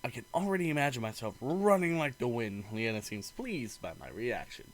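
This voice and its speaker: Expressive man reading aloud, very varied pitch. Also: a little fast. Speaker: gamer reading a visual novel aloud. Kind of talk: let's play narration of story text and dialogue.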